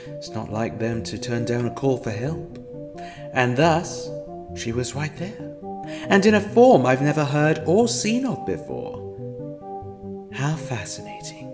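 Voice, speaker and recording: Brief: a man voicing a sardonic, self-styled god of time in an audio drama, slow and deliberate, pitch swinging widely. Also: big pitch swings, over music. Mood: smug. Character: scheming, self-important male time-meddler posing as savior. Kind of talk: fan audio drama acting.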